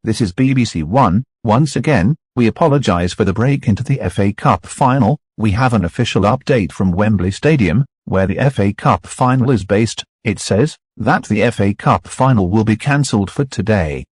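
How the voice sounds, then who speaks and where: Husky man reading a formal emergency announcement. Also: fairly low pitch, moderate pace, hardly any pauses, no echo. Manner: formal, steady, reading from a script. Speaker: broadcast announcer.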